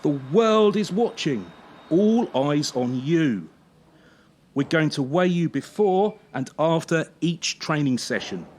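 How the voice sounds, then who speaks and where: An actor playing a harsh former champion turned gymnastics coach in a radio play, scolding with sarcasm and pointed pauses. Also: medium pitch, sing-song, a little mellow. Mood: intimidating. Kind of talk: radio drama acting.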